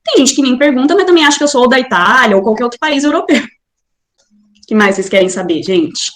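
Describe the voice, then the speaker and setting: Brazilian woman guest, bright-pitched, lively, room echo on the line. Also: fairly quick. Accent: Brazilian Portuguese. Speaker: interview guest. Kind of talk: casual video call interview.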